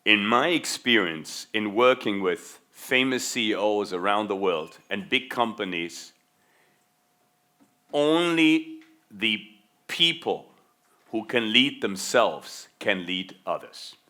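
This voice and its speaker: Middle-aged German-born male keynote speaker; low, bright, driving delivery, emphatic repeats. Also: expressive, takes it slowly. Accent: faint American-schooled German. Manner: emphatic, stretches key words, repeats for stress. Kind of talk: motivational keynote talk.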